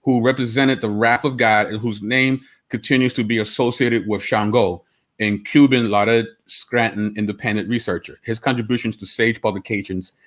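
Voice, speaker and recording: A man's voice: on the low side, fairly even intonation, normal speed. A man from Brooklyn co-hosting a casual podcast discussion, talking conversationally and reading passages aloud, correcting himself as he goes. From United States (Brooklyn). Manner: conversational, reads sources aloud, self-correcting. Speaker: podcast co-host.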